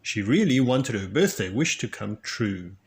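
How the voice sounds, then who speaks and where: A man reads a children's storybook aloud in an expressive way. His delivery is slow and deliberate, and his pitch moves in lively, animated swings. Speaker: storybook reader, children's read-aloud channel. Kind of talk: expressive scripted storybook narration.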